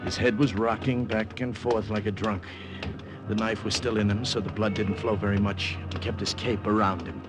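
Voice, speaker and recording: A man plays a low-pitched American spy in a vintage radio thriller with music behind him. He is terse, guarded and wry, confiding like a hard-boiled narrator. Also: steady tone, moderate pace, natural voice. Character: tense, wry American undercover agent, quietly hard-boiled. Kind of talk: 1950 radio drama acting and first-person narration.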